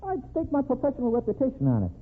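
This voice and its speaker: Small-time American thief, very animated, slangy tough talk, defensive, old radio drama, noisy narrow-band recording. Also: male voice, very high voice, very fast, full character voice. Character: small shabby streetwise male pickpocket, blunt and defensive.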